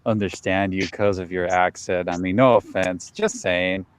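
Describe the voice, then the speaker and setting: A man's voice: low voice, steady tone, moderate pace, a little hiss or hum. A man talking frankly and chattily in a casual call discussion, often agreeing with the others.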